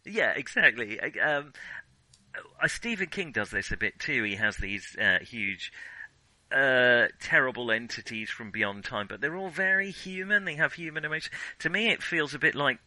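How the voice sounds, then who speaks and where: Bright-voiced man chatting about films. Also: mid-range voice, moderate pace, no room sound, rough recording. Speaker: film discussion podcast co-host. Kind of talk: casual film discussion.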